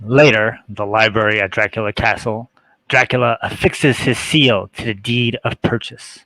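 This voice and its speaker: A man's voice: on the low side, steady tone, normal speed, sharp tone, no echo, rough recording. A man reading stage directions as scripted narration for an amateur drama table read.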